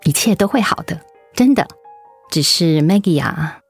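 An actress playing a caring, gently earnest cancer survivor advising a colleague in a radio drama. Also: female voice, lowish voice, lively intonation, normal speed, creaky, slightly rough, soft background music, full character voice. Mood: gentle, encouraging. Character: caring older female colleague who survived breast cancer.

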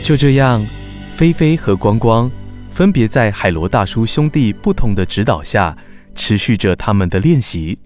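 A man narrating a children's radio drama in measured, expressive storytelling. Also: fairly low pitch, lightly acted. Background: background music.

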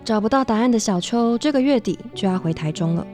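A woman narrating a radio drama as a reflective storyteller, measured and literary. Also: a little high, lively intonation, a little mellow, close to an everyday voice. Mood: reflective, storytelling. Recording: music behind the voice.

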